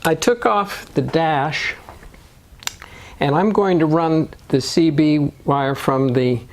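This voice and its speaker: A man narrating step-by-step DIY instructions in a measured, matter-of-fact way. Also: a little high, slight background noise. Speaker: motorcycle DIY video host.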